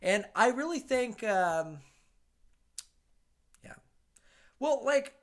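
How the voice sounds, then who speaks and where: A man co-hosting a podcast, chatting casually about films in an animated, opinionated, sweary way. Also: very high-pitched, drawn-out words. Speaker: podcast co-host. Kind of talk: casual movie review chat.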